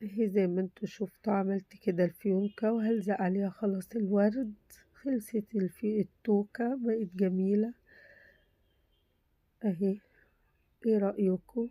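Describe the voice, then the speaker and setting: A woman with an Egyptian Arabic accent, hoarse from a cold, casually talking through a simple craft tutorial and reassuring viewers that it is very easy. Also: medium pitch, fairly even intonation, moderate pace, long pauses, no room sound, lo-fi sound. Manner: chatty, reassuring, repeats "very easy". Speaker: crafts channel host.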